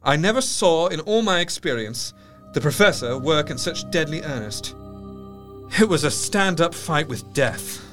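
An actor playing a grave, shaken Victorian doctor, narrating a diary in measured, literary style for an audio drama. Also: male voice, on the high side, lively intonation, a bit bright, faint music behind. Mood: earnest, tense. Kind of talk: dramatic scripted first-person narration.

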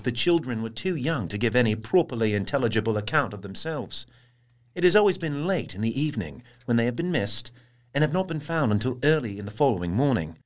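Actor as formal Victorian newspaper reader, mid-pitched. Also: male voice, lightly acted. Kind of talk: formal dramatic news reading.

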